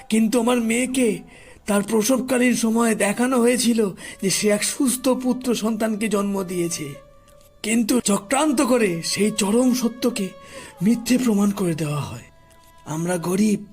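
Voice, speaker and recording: A man acts out an old village grandfather, grave and wronged, in an audio horror drama. He speaks very slowly with halting pauses in a fairly high male voice, weighted with grief and accusation, over clear background music. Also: a little mellow. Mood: bitter grief. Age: older. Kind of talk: audio horror story acting.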